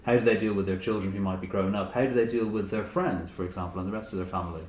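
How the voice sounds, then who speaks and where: Low-voiced man in a roomy, noisy recording. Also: steady tone, racing, a little mellow. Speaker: author being interviewed. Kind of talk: reflective conversational interview. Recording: roomy echo with clear background noise.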